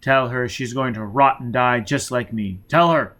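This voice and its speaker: A man's voice: mid-range voice, moderate pace, a bit bright, natural voice. A man voicing a ruthless, vengeful man who snaps orders with menace in an audiobook story. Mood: vengeful, menacing. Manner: barking orders, snapping, menacing. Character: ruthless, vengeful, furious man hunting his enemy.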